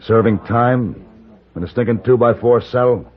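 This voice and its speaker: An actor playing a terse, gruff, hard-bitten ex-convict gunman in an old-time radio western. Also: mid-range voice, a little slow, natural voice. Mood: curt, hard. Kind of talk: old-time radio western acting and first-person narration. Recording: muffled old-time radio recording with music.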